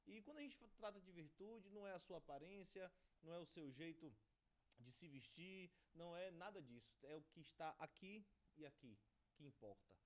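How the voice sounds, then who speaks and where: A man's voice: fairly high pitch, lively intonation, moderate pace. A Brazilian man hosting a studio podcast interview, talking with reverence about honor and friendship. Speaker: podcast host.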